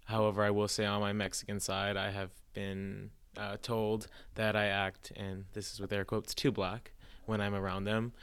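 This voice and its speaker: Young American male, low smooth voice, flat intonation, reflective podcast guest. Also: moderate pace, few pauses. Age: young adult. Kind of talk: reflective personal account.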